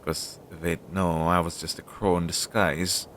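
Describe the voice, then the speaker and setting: A man's voice: flat delivery, measured pace, a bit bright. A man playing an anxious, talkative demon crow familiar in an audio roleplay, speaking hesitantly with sighs and chatty asides to his master. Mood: nervous. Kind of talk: scripted audio roleplay addressed to the listener. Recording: noisy low-quality home recording.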